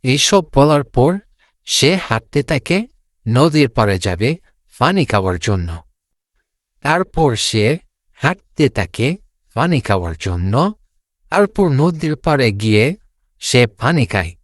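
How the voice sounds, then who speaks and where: Casting: male fairy-tale narrator, unhurried, animated intonation, simple repetitive phrasing, very dry sound. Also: mid-range voice, no room sound. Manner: repeats phrases, simple unpolished storytelling. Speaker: storyteller.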